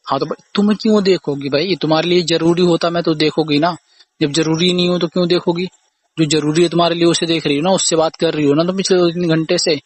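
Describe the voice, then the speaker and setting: A man's voice: fairly high pitch, steady tone, fast talker, slightly rough. A young man arguing casually in an accusing, repetitive, aggrieved way on a phone call recording. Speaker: boyfriend or husband on a phone call. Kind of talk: casual argumentative phone conversation.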